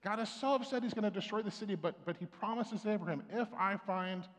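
A man's voice: sits high, a little fast. Middle-aged man, pastor at the pulpit, conversational preacher, lots of restarts, recorded with long church reverb. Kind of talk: sermon. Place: church sanctuary. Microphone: stand mic at pulpit, far camera.

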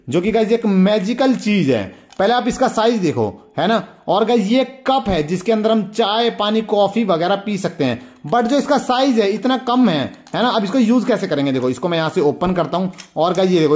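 A man with a high voice hosts a casual show-and-tell review. He speaks directly to his viewers, presenting each item and repeatedly tagging his sentences with small check-ins. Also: a little mellow. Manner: repetitive check-in tags, addressing viewers directly. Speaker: toy review host. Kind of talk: casual hands-on toy showcase.

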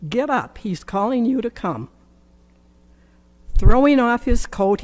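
An older woman reading scripture aloud at a church lectern in a slow, measured way. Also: mid-range voice, darkish tone. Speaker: scripture reader. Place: church sanctuary at a wooden lectern. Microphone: lectern microphone.

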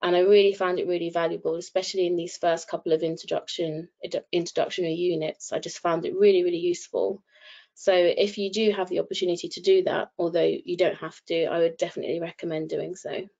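A woman in her thirties, a mature student, talking earnestly to applicants on a video call, with many pauses and ums. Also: on the low side, level tone, vocal fry, darkish tone. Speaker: first-year radiography student. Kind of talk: webinar talk to applicants. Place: home room, indoors. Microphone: laptop webcam video call. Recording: muffled video call audio.

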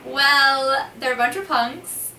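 A woman's voice: high-pitched, slow pace. A young American woman co-hosting a casual, playful chat, teasing and giggling as she answers questions in a small storeroom. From United States. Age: young adult. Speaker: co-host. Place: small cluttered storeroom indoors. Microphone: far camera mic. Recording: echoey room, distant mic, faint hiss.

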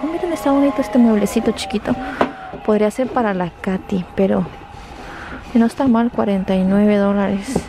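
A woman's voice: mid-range voice, moderate pace. A young woman chatting casually to her viewers and her children while she shops and films herself on a handheld camera inside a large store. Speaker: vlogger. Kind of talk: casual vlog chat while shopping. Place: large retail store, indoors. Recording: noisy store background.

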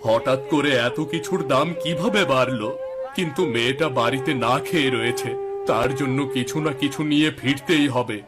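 A man's voice: mid-range voice, normal speed, lightly acted. A man playing a poor, worried village farmer and father in a cartoon, with a plaintive, questioning way of talking over music. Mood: troubled. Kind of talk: Bengali cartoon voice acting.

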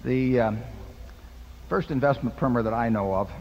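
A man's voice: medium pitch, normal speed, darkish tone, slight background noise. An older man seated at a table microphone on a hall stage, patiently explaining investing to shareholders with a folksy parable and simple arithmetic. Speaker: company chairman answering shareholder questions. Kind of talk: unscripted explanation at a podium. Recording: dull lo-fi hall recording with long reverb.

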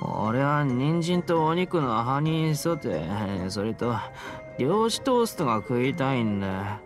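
A man playing a boyish young adventurer mumbling in his sleep, drowsy and drawn-out, in an anime-style game. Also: medium pitch, sing-song. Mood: sleep-talking. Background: background music.

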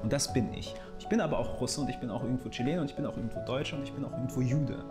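Interviewee: male, average-pitched, reflective, informal, repeats phrases while thinking, music underneath. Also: rapid-fire. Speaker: interviewee, actor. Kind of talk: reflective personal testimony, colloquial.

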